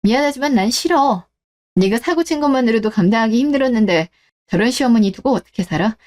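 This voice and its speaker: Radio drama wife, played by a woman: cold, sarcastic, unyielding, fairly high voice, standing her ground. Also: lively intonation, quick pace, darkish tone, close to an everyday voice. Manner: firm, sarcastic. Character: cold, resolute, fed-up wife standing up for herself. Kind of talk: melodramatic radio drama dialogue.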